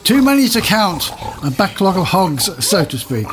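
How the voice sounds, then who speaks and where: A man's voice: high voice, sing-song, moderate pace. A man playing a pompous, exasperated old-time manor court judge, declaiming puns in a comic audio drama. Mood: stern, exasperated.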